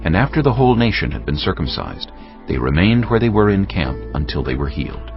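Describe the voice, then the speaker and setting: Male narrator, gravelly, lively intonation, scripted audio Bible reading, music underneath, slightly dull recording. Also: sits low, a little fast.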